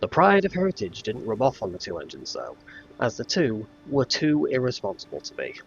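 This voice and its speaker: A man narrating a scripted storybook tale in measured phrases with dramatic emphasis. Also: medium pitch, huge pitch swings, creaky, slightly rough, highs cut off.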